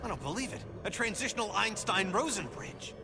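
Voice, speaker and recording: An actor playing a serious, bossy young futuristic superhero in a video game, speaking urgently and commandingly. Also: male voice, sits high, sing-song, brisk. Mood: tense, insistent. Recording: loud music underneath.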